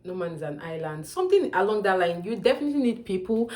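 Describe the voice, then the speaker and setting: A woman's voice: mid-range voice, sing-song, quick pace, mellow. A young woman with a Nigerian accent, talking to camera in a chatty advice vlog and often calling out to her viewers. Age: young adult. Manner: chatty, emphatic, often calls out "you guys". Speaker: student vlogger.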